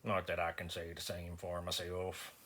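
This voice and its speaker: A man performing a dramatic monologue as a slow-witted, stoic rural man with a Southern US accent, halting and deadpan, grunting hums between phrases. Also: sits low. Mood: stoic reminiscing. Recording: faint background noise.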